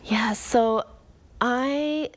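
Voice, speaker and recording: A woman's voice: a little high, very slow. A young woman author from Canada giving earnest, reflective interview answers, with frequent "um" and "like". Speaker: author guest.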